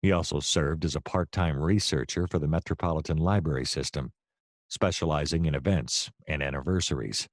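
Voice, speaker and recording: Guy doing hardboiled radio detective, really low voice, deadpan wry narrator, kind of a loser, dry sound. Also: a little fast, dry recording. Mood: deadpan narrating. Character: hard-boiled, hapless male private detective narrating his case. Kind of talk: noir radio-play narration and dialogue.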